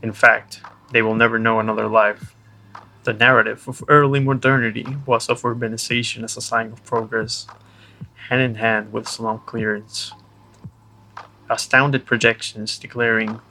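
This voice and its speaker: A man's voice: lowish voice, level tone, normal speed, gravelly, sharp tone, slight background noise, low-quality recording. A man reading a scripted essay aloud as a podcast narrator. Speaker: podcast reader.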